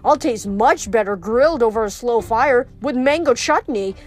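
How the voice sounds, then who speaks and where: Woman voicing a high, jittery young satyr boy. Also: big pitch swings, a little slow, faint music behind. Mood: nervous bargaining. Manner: stammering, yelping. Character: nervous adolescent male satyr, timid and pleading.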